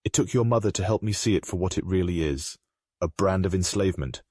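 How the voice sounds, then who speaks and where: A man playing a grave, remorseful aristocratic father in a comic dub, speaking in a formal, measured way. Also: low voice, a little mellow, breathy edge, no room sound, studio quality. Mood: solemn, remorseful.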